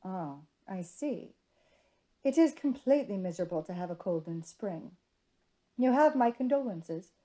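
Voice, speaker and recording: A woman voicing a cheerful, gallant young gentleman suitor with genteel, effusive manners in a storybook reading. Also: mid-range voice, expressive, measured pace, lightly acted. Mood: sympathetic. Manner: genteel, effusive, formal period speech.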